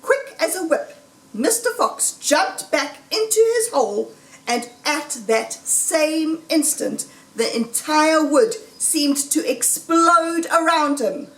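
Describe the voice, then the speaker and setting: A woman reading a children's story aloud in a deliberate, dramatic, suspenseful storytelling manner. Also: high-pitched, animated, a bit bright. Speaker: storybook reader. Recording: roomy echo, distant microphone.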